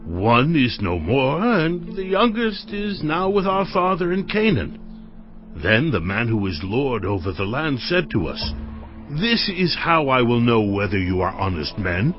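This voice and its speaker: A man's voice: mid-range voice, very animated, unhurried. An actor playing an anxious, deferential man who has come to buy grain, in a dramatized audio Bible. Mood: earnest, reporting. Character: anxious, pleading brother, humble male grain buyer. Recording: dull audio with music.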